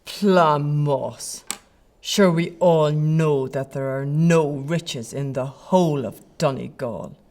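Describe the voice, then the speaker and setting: A woman's voice: low voice, slow, darkish tone. An actress playing a chieftain's lady in an audio play, arch, playful and haughty. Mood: teasing, scornful. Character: fine Irish chieftain's lady, teasing, imperious, flirtatious.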